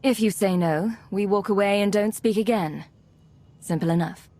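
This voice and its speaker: A woman playing a composed, resolute Imperial agent commander in a video game, speaking in a measured, firm manner. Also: medium pitch, mellow, natural voice.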